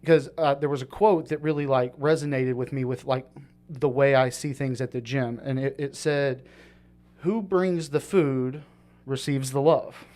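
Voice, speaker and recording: A man's voice: mid-range voice, steady tone, measured pace, darkish tone. A man hosting a podcast, talking in a rambling, casual way with lots of fillers. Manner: rambling, many fillers and restarts. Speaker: podcast host. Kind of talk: casual chat.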